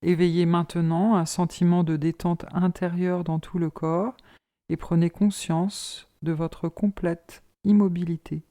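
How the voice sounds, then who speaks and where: A woman leading a guided yoga relaxation as an instructor, in a calm, measured, soothing manner. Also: fairly low pitch.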